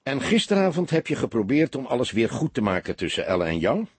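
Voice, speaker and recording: A man voicing a patient, fatherly older police detective with a measured, gently insistent way of questioning. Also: medium pitch, big pitch swings, breathy edge, dry recording. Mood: probing.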